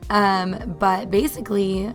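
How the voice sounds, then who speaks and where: A woman with a raspy, hoarse voice, chatting casually as she narrates her body painting. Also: medium pitch, fairly even intonation, takes it slowly, soft background music. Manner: chatty, rambling, laughs between thoughts. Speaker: body paint artist and vlogger.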